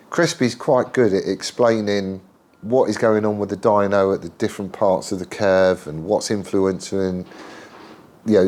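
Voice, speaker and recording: A man's voice: deep voice, darkish tone, slight background noise. A middle-aged man with a head cold, chatting casually and rambling through a workshop update with plenty of ums and local slang. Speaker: motorcycle builder and channel host. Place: home workshop.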